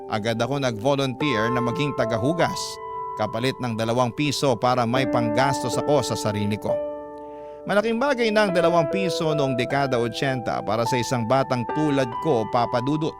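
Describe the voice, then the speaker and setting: A man narrating a first-person letter in a classic radio-program style, steady and storytelling, addressing the host directly. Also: mid-range voice, fairly quick. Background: loud music.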